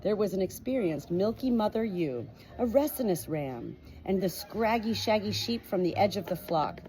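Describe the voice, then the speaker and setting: A woman reading a storybook aloud in an expressive amateur style, with casual asides, recorded on a phone at home. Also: medium pitch, normal speed, faint music behind, rough recording. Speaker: amateur book reader, channel host.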